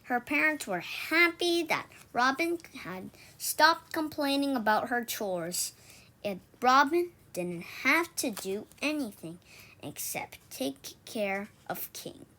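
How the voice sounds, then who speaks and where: A woman reads a children's story aloud in a fairly high voice. Her pace is very slow and halting. She often trips on a word and says it again before moving on. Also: expressive, sharp tone. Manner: halting delivery, stumbles and repeats words. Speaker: storybook reader for an online read-aloud.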